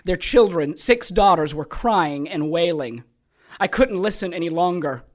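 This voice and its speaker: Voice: mid-range voice, animated, a little slow, natural voice. An actor as a theatrical, gleefully sadistic mad sorcerer. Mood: grim storytelling. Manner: taunting, theatrical, gleeful cackling. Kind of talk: audio drama acting, storytelling monologue.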